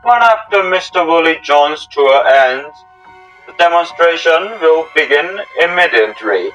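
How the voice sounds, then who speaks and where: A man's voice: a little high, lively intonation, unhurried, fairly bright tone, dull audio. A man acting out a scripted amateur audio drama in formal, stilted English with non-native phrasing. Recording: music under the voice. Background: instrumental music.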